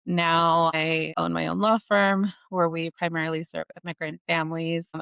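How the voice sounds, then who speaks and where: A woman's voice: low voice, creaky, a bit bright, smooth, no echo. A woman in her forties from Los Angeles, an immigration attorney and podcast guest, explaining things in an earnest, practical way with plenty of "you know" and "like.". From Los Angeles, United States. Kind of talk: casual podcast interview.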